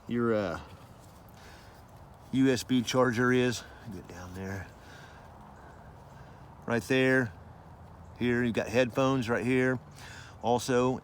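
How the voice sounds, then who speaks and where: Low-voiced man casually reviewing gear, background noise. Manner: informal, repetitive, loose explaining. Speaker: product reviewer. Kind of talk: casual hands-on video review.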